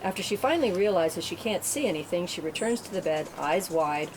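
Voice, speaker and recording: A woman's voice: lowish voice, expressive, a little fast. A woman reading stage directions as narrator at a script table read, in a matter-of-fact way. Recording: clearly noisy room recording.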